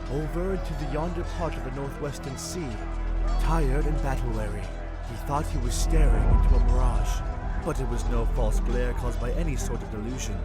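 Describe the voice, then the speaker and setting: A man voicing a tall, excitable young fish-folk prince who tells tales in a grand, theatrical way, in a fan audio drama. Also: medium pitch, lightly acted. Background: music.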